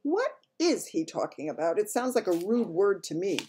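A woman playing a calm, regal queen. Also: medium pitch, moderate pace, slight background noise, pitched above the performer's own voice, close to an everyday voice. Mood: composed. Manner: calm, dignified, polite. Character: composed, regal older queen.

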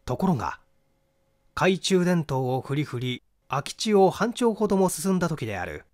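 A man narrating a mystery novel in a theatrical, deliberate storytelling manner. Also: fairly high pitch, very animated, darkish tone. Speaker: audiobook narrator and stage actor.

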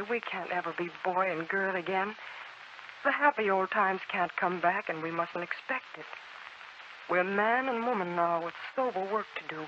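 A woman's voice: mid-range voice, natural voice. An actress playing a spirited, outspoken young woman with earnest, emphatic 1940s radio delivery. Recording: muffled, noisy 1940s radio recording.

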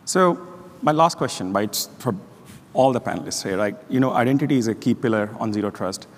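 A man's voice: mid-range voice, expressive, a little fast, a little hiss or hum. A man moderating a conference panel, asking casual questions. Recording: reverberant hall.

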